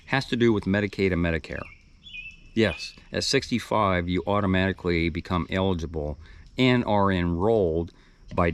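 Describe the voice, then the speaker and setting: A man in his sixties talks in a very low, deep voice. He muses aloud in a loose, rambling way, circling back and correcting himself as he shares his thoughts with viewers. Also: slight background noise. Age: 60s. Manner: rambling, thoughtful, with restarts and trailing asides. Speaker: video blogger. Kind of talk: reflective casual monologue.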